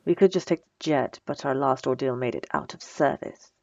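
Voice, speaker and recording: A woman playing a bossy, sarcastic supervillain with a soft spot, in a teasing, dry, conversational ASMR roleplay. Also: very deep voice, moderate pace, dry recording, lo-fi sound. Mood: bossy, sardonic. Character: female supervillain, bossy and sarcastic, secretly flustered by compliments. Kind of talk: ASMR roleplay audio drama.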